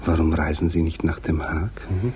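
An actor playing an ailing, pedantic gentleman in a 1960s radio play, halting and sometimes hushed. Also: rumbling low, steady tone. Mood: absorbed, pedantic. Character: ailing, housebound gentleman absorbed in his art prints. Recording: faintly noisy 1960s radio recording.

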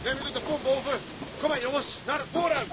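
A man's voice: extremely high pitch, steady tone, quick pace. A man acting as a commanding, practical tugboat mate, barking short orders in a seafaring drama. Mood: firm, practical. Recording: loud boat and sea noise.

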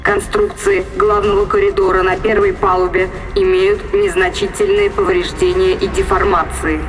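An actress playing an impassive female ship's computer in a science fiction audio drama, giving formal, measured status reports. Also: mid-range voice, level tone, over music, close to an everyday voice.

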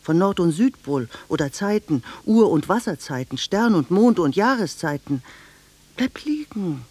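An actress playing a blunt, wistful middle-aged village cashier in a German radio drama, speaking in a deliberate, intimate way. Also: female voice, lowish voice, very animated, a little mellow, husky, no room sound, full character voice. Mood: brisk, bossy.

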